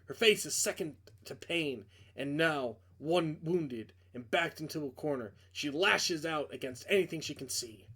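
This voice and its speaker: Male narrator, fairly high voice, dramatic reading of visual novel prose. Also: a little slow, hardly any pauses, pushed and bright, slightly rough.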